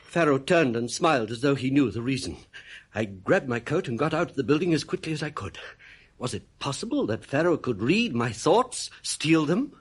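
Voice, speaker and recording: A man's voice: mid-range voice, big pitch swings, normal speed, husky. An actor playing an uneasy, suspicious composer, confiding his own story in a radio thriller. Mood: troubled, confiding. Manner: troubled, confiding first-person storytelling. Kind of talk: radio play narration and dialogue.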